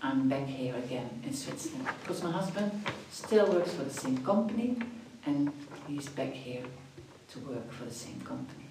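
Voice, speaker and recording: A woman's voice: rumbling low, sing-song, normal speed, heavy reverb, recorded from a distance, background noise. A Dutch woman in her fifties, chatty, answering interview questions and often restarting her phrases. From Netherlands. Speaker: after-school activities coordinator.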